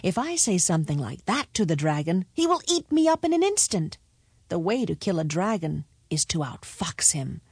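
A woman's voice: fairly low pitch, big pitch swings, normal speed, a little mellow, husky, no room sound, studio quality, full character voice. A woman voicing a confident, sceptical young man in a storybook tale, brash and self-assured. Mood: smug. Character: confident, sceptical young sons off to slay a dragon.

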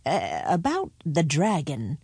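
A woman's voice: sits low, huge pitch swings, takes it slowly, vocal fry, darkish tone, breathy edge, dry recording, full character voice. A woman voicing a gloomy, unimpressed old male cobbler, dry and grumbling, in a storybook tale. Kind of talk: storybook character voice.

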